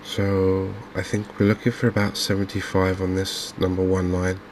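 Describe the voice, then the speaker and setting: A soft-spoken man giving unhurried ASMR commentary on a video game. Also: low voice, monotone, a little hiss or hum. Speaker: gaming video host.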